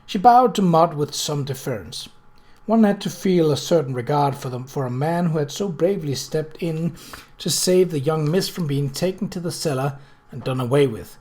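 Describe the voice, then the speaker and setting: A narrator reading a novel aloud in a measured storytelling manner. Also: mid-range voice, a little mellow. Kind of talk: scripted audiobook narration.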